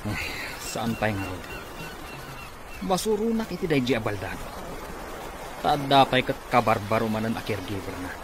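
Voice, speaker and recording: A man's voice: fairly low pitch, very animated, moderate pace, long pauses, noisy background. A man playing a greedy, scheming business rival in an Ilocano radio comedy-drama. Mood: gleeful scheming.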